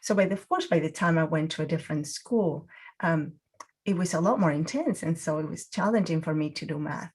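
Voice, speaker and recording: A woman's voice: deep voice, fairly quick, darkish tone, slightly rough. Reflective woman teaching mindfulness. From Peru. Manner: reflective, many fillers and restarts. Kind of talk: guided mindfulness talk.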